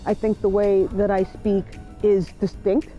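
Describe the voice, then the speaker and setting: A woman's voice: mid-range voice, level tone, unhurried, muffled recording. A woman from New York City with a New York accent, speaking earnestly in an interview. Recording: music behind the voice.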